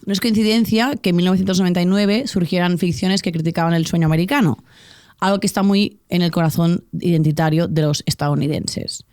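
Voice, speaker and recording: Woman hosting with smooth clear voice. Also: on the low side, steady tone, brisk, a little mellow, no echo. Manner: essayistic, with fillers and restarts. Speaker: podcast host. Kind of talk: scripted cultural commentary.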